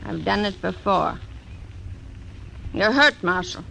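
An actress voicing a grim, hard frontier widow who gives cold, threatening orders, in 1940s radio acting style. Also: female voice, mid-range voice, expressive, takes it slowly, vocal fry, sharp tone, exaggerated voice. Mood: cold, commanding. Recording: noisy old radio broadcast.

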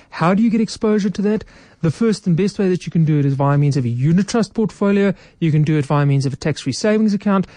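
A woman speaks as a guest in a radio studio, her voice mellow and soft-edged. She lays out financial advice in a practical, step-by-step way, with a dry, close sound. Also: deep voice, no echo.

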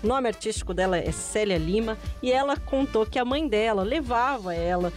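A woman hosting a program segment who smoothly introduces a guest's recorded memories. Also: fairly high pitch, animated, normal speed, soft background music. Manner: smooth presenter, introduces clips. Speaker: radio host.

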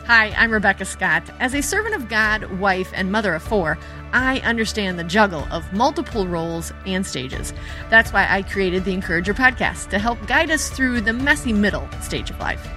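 A woman's voice: medium pitch, expressive, moderate pace. A woman reading a scripted promo for her podcast in an earnest, encouraging tone. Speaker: podcast host in an ad. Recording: studio read over clear music.

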